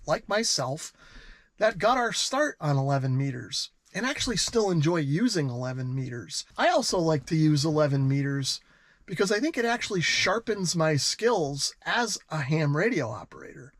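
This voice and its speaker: A man's voice: on the high side, no room sound. A middle-aged man with an American accent, talking conversationally and explaining things to camera as the host of a hobby vlog. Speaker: radio hobbyist video host.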